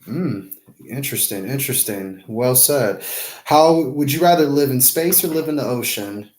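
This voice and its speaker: Male podcast host, mid-pitch, upbeat and affirming, recorded in an echoey room. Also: animated, normal speed, a little mellow. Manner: upbeat, affirming, repeats phrases. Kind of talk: casual interview.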